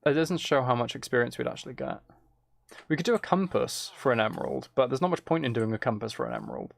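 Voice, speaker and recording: Male game streamer, gravelly medium-pitched voice, low-key casual chat, close mic with keyboard clicks. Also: sing-song, fast. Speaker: streamer playing a game while chatting with viewers.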